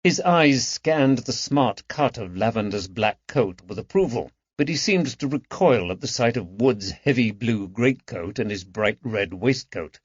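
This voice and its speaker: A man narrates a historical detective novel in a scripted audiobook style. His pitch sits mid-range for a male voice, and the recording is dry and somewhat dull. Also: lively intonation, unhurried, few pauses, slightly rough, dry recording.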